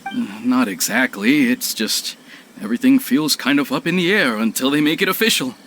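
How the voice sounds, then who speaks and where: An actor playing a dutiful, reserved and anxious young retainer in a video game, formal and hesitant in speech. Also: male voice, mid-range voice, moderate pace, a bit bright, slight background noise, close to an everyday voice. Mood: troubled. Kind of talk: video game character dialogue. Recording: music behind the voice.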